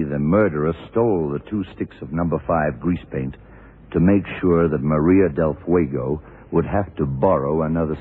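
An actor playing a shrewd, worldly, commanding male detective who explains deliberately, in the style of vintage radio drama. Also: very deep voice, slight background noise. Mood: assured, accusing. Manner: deliberate, hard-boiled explaining. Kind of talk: 1960s-70s radio drama acting. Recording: muffled, narrow-band vintage radio recording.

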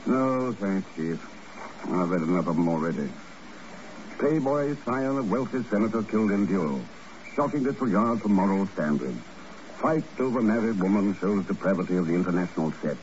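A shrewd secret agent in a 1950s radio drama. His voice is fairly low, with a dry, understated wit. The recording is muffled with faint hiss. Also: expressive, normal speed, a little mellow. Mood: wry, skeptical.

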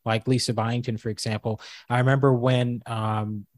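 A man with a fairly high, mid-pitched voice chats casually as a co-host. He thinks aloud, restarting sentences and leaning on fillers. The sound is dry and close. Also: little pitch movement, normal speed, dry recording. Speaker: co-host and former college professor. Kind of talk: casual podcast debate.

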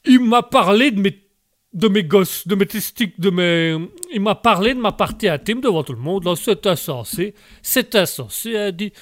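A man's voice: high-pitched, lively intonation, normal speed, dry recording, studio quality. A man voicing an indignant male Québécois with a mock Québécois accent and Québécois swearing.

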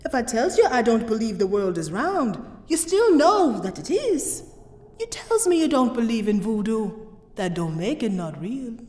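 A woman's voice: on the high side, sing-song, normal speed, a little mellow. An actress playing a haughty, mysterious voodoo queen in a radio drama, cryptic and commanding, with a folksy dialect. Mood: warning, insistent. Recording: roomy echo, long reverb, music underneath.

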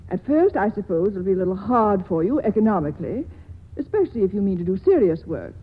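A woman's voice: medium pitch, sing-song, fast, slightly rough. An actress playing a wealthy, hurt woman who reproaches a man and says goodbye, in the style of 1940s radio acting. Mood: reproachful. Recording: tinny old radio broadcast with faint hiss.

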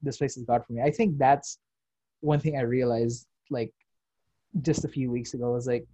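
A man's voice: medium pitch, steady tone, normal speed, warm tone, husky, no echo. A young man chatting casually as a podcast host, rambling with lots of fillers and the odd swear word. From United States. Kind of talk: casual chat.

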